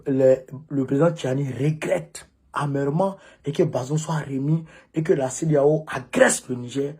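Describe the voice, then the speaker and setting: A man with a West African French accent delivering an informal political monologue as a commentator, emphatic and repeating phrases for stress, with a husky voice. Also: a little high, fairly even intonation, moderate pace, a little mellow.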